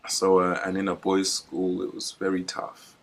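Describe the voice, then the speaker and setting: A young man from Zimbabwe, guest at a church interview, telling his story in a relaxed, reflective way and laughing easily. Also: deep voice, moderate pace, creaky. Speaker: guest preacher.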